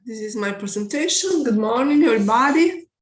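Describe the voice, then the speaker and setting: A woman's voice: a little high, animated, roomy sound. Italian-accented woman giving a talk. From Italy. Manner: careful, halting non-native English. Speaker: math teacher presenting. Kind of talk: conference presentation. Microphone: video call.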